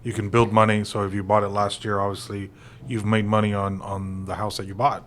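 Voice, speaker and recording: Casting: forties male co-host, lower pitch, loose rambling delivery with fillers. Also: fairly quick, slight background noise. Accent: American. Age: 40s. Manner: relaxed, rambling with many fillers. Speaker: real estate podcast co-host. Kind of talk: casual conversational chat.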